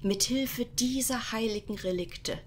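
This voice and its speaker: A woman's voice: fairly high pitch, a little slow, a little hiss or hum, close to an everyday voice. A woman playing a haughty, menacing villainess who schemes and gloats in a parody detective audio drama. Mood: smug. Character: scheming, gloating villainess holding heroes at gunpoint.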